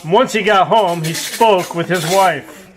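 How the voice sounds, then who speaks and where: High-pitched male puppet-show narrator. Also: slow pace, music in the background. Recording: faint clattering background noise.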